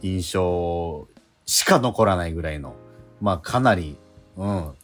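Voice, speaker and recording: A man's voice: low voice, lively intonation, takes it slowly, soft background music. A man hosting a casual radio talk show, speaking hesitantly with many filler words. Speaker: radio show host and actor.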